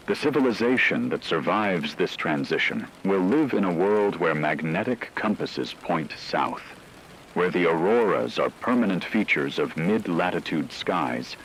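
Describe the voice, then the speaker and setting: A man playing a grave scientific expert in a radio drama, speaking in a deep voice. He delivers a formal, technical emergency briefing in measured phrases. Rain-like static noise runs behind him. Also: vocal fry, fairly bright tone, full character voice, low-quality recording. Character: grave male scientific expert briefing during global disaster. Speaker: radio broadcast expert commentator.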